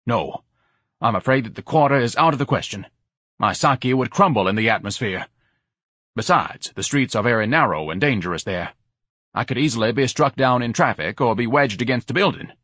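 A man's voice: medium pitch, expressive, brisk, a bit bright, breathy edge, no room sound, clean studio sound. Male narrator as pompous fat man, grandiloquent, self-pitying, easily outraged, muddied voice, audiobook character. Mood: pompous indignation. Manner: pompous, grandiloquent, ornate wording.

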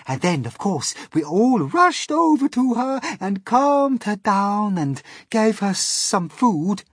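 A woman's voice: medium pitch, sing-song, takes it slowly, breathy edge, dry recording, studio quality, voice pushed up, exaggerated voice. A woman voicing a genteel, mournful female spider who tells her sad tale dramatically. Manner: dramatic, tearful storytelling.

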